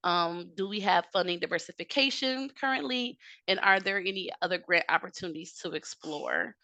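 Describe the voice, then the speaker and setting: Bright, dry-sounding woman presenting a webinar. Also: fairly low pitch, no room sound. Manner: steady, explanatory, frequent "um" fillers. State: slightly hoarse from a cold. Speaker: technical assistance presenter. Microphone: video call.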